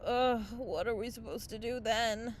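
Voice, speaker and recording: A woman's voice: fairly high pitch, a little slow, slight background noise, lightly acted. A woman playing a sarcastic, exasperated young fairy in a mockumentary-style comedy audio drama, with dramatic, sardonic delivery. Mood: groggy, exasperated.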